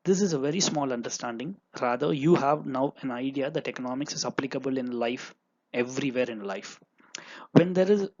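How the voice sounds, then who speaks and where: A man's voice: mid-range voice, a bit bright. A young man whose first language is Tamil, teaching economics in an earnest lecture with frequent fillers and repeats. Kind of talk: lecture with examples. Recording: dull lo-fi recording.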